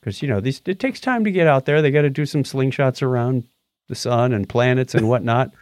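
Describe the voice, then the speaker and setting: A man's voice: mid-range voice, a little fast, dry recording, clean studio sound. A man co-hosting a casual show, bantering in a jokey, chatty way through news items and announcements. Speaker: podcast co-host.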